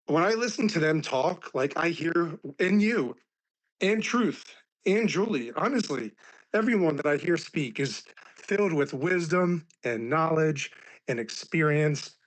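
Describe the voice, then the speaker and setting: A man in his thirties speaks in a somewhat high voice that is raspy from laryngitis. He talks earnestly, sprinkling "like" through every sentence. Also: lively intonation, unhurried, rough recording. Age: 30s. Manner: says "like" constantly, earnest. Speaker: speaker on a live stream. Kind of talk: casual heartfelt talk.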